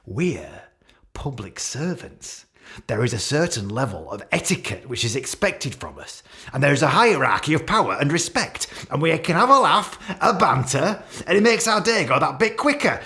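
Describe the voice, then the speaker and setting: An actor playing a pompous, self-pitying middle-aged British senior police officer making a halting, passive-aggressive speech in a radio play. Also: male voice, a little high, very animated, pushed and bright, exaggerated voice. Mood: aggrieved, self-righteous.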